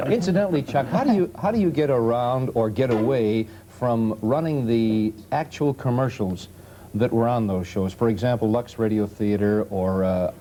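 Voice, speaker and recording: A man's voice: medium pitch, normal speed, warm tone. A man talking conversationally as a guest on a 1970s television talk show panel. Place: television studio. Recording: faintly noisy 1970s television recording.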